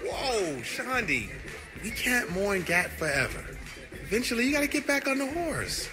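An actor playing an easygoing, swaggering pimp gangster in a video game, with slangy drawn-out speech. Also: male voice, extremely high pitch, sing-song. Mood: breezy, cocky. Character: cocky, easygoing male street pimp gangster. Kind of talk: video game cutscene acting. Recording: loud club music over the voice.

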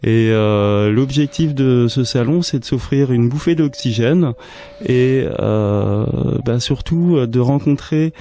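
Male radio guest, thirties, soft mellow tone, searching for his words, close studio mic. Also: mid-range voice, slow. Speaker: guest, wellness fair organiser. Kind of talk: radio interview answers. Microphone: close studio microphone, headphones.

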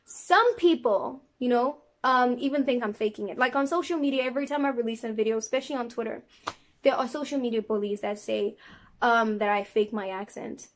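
A woman's voice: fairly high pitch, fairly quick. A young woman from South Africa with a South African accent and an American twang, telling a story casually to camera in a small room. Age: young adult. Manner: animated, gestures a lot, slips into quoted voices. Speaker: vlogger. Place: small indoor room against a plain wall.

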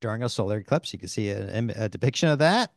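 A man's voice: fairly low pitch, lively intonation, creaky, no room sound. Older male co-host, upbeat scripted delivery, dry studio sound, handheld mic. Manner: upbeat, reads scripted lines with quick affirmations. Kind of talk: scripted corporate town hall chat. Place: studio set in front of a screen.

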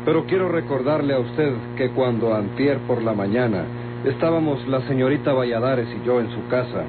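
A man's voice: mid-range voice, over music, lightly acted. Man playing a stern Mexican lawman, muffled old radio. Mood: accusing. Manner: formal, measured, pointed. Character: calm, stern, suspicious male lawman. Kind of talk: Mexican golden-age radio drama acting. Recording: muffled vintage radio, loud hum and noise.

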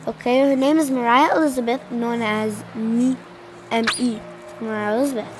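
A woman's voice: on the high side, fairly even intonation, moderate pace, background noise. A young teenage girl with a slight cold, chatting to viewers while playing a video game. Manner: chatty, rambling, addresses viewers directly. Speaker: gaming channel co-host. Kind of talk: casual gameplay commentary. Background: game music.

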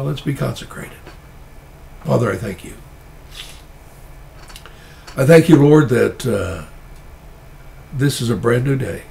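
A middle-aged man, a pastor with a stuffy nose, preaching an earnest, measured sermon and often restarting his phrases. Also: fairly high pitch, creaky.

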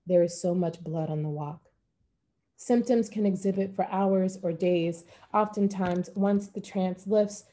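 A middle-aged woman reading poetry in a measured, deliberate way, like a clinical report, on a video call at home. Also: medium pitch, dark tone. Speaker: poet. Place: room at home. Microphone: computer mic on a video call.

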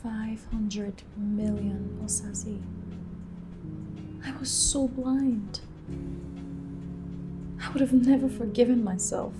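A woman's voice: sits high, big pitch swings, unhurried, echoey room, over music. An actress with a Nigerian accent playing a betrayed, emotional wealthy woman boss in a screen drama. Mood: shaken, regretful. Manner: forceful, emotional, accusing.

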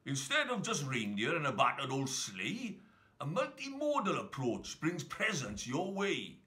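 Guy doing slick modern santa for a kids xmas poem, salesy and declaiming, really swoopy and bright. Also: on the high side, huge pitch swings, a little slow, exaggerated voice. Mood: brisk, salesy. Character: slimmed-down hipster Santa, slick, cheerful corporate announcer. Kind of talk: children's Christmas verse storytelling.

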